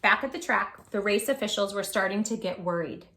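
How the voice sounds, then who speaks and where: A woman hosting storytime, reading a children's picture book aloud in a gentle, expressive, affectionate way. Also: mid-range voice, fairly quick, reverberant, slight background noise.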